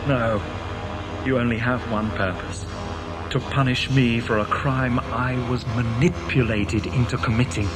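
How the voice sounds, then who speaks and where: An actor playing an anguished, determined time-travelling adventurer in an audio drama, pleading with theatrical intensity. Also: male voice, medium pitch, a little slow, close to an everyday voice. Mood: bitter resolve. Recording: loud music bed over dialogue.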